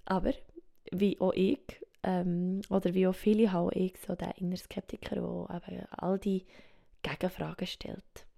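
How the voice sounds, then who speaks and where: A Swiss woman speaking German with a Swiss German accent, hosting a reflective solo podcast, thinking aloud softly and often dropping to a whisper. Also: fairly low pitch, flat delivery, moderate pace, creaky. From Switzerland. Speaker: podcast host. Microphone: home microphone.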